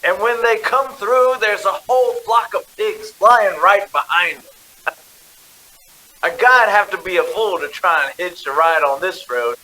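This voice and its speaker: A gas station attendant in a radio drama. His voice is notably high and bright. He is chatty and folksy, with a scoffing, sardonic edge. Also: measured pace, poor audio. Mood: amused, scoffing.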